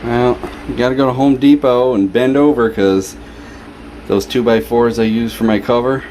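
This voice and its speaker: A man's voice: medium pitch, steady tone, normal speed, darkish tone. A man giving casual, folksy vlog commentary on home repairs in short offhand remarks, recorded on a handheld camera. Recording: noisy handheld camera audio, background hum.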